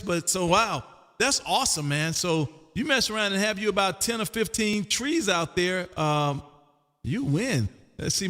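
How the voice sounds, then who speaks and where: A man with a somewhat high voice hosts a casual ham radio chat. He sounds curious and informal, and his voice carries a long reverberant tail. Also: pushed and bright. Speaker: livestream host, amateur radio operator. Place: radio room.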